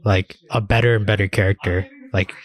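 A man's voice: on the low side, little pitch movement, brisk. A man podcast host in casual discussion, rambling enthusiastically and swearing freely. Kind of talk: casual anime discussion chat.

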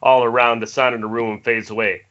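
A man's voice: medium pitch, little pitch movement, fairly quick, fairly bright tone. A man reading stage directions as scripted narration in an amateur screenplay table read. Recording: dull, noisy internet call with clicks.

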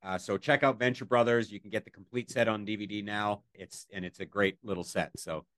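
Low-voiced man, dry casual co-host. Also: fairly quick, no echo. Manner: relaxed, loose recommendations with fillers. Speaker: podcast co-host. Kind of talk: casual chat.

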